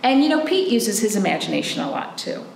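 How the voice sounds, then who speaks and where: A woman's voice: mid-range voice, big pitch swings, quick pace, gravelly. A woman in her forties hosting storytime like a children's librarian, talking and reading to young children with simple, encouraging questions, recorded indoors a little way from the camera. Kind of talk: children's storytime talk and picture-book read-aloud. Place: small indoor room, camera a few feet away. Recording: roomy echo, faint background noise.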